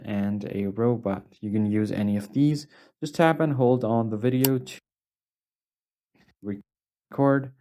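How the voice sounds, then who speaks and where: A man's voice: medium pitch, warm tone. A man narrating a step-by-step tutorial as its host, in a plain, instructional manner.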